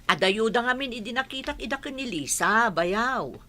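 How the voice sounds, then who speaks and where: A woman's voice: a little high, animated, normal speed, bright, forceful tone. A woman with an Ilocano Filipino accent playing a nosy, defensive village gossip in a radio comedy drama.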